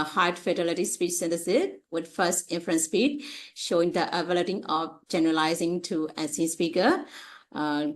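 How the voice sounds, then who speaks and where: Halting low-pitched woman. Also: poor audio. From Myanmar. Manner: halting, hesitant, with frequent pauses and repeated phrases. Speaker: researcher presenting at a conference. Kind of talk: academic slide presentation.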